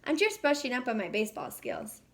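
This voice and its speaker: Young male cartoon sponge played by a female reader, high voice, keen, enthusiastic storybook character. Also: wildly swooping pitch, fairly quick, fairly bright tone. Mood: confident. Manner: enthusiastic, bubbly.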